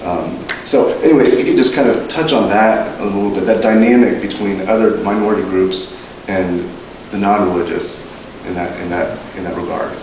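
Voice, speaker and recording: Man, audience member, earnest, low voice, echoey distant sound. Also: moderate pace, a little mellow, a little hiss or hum. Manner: earnest, casual, uses "you know". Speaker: audience member asking a question. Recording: echoey hall taped from far off.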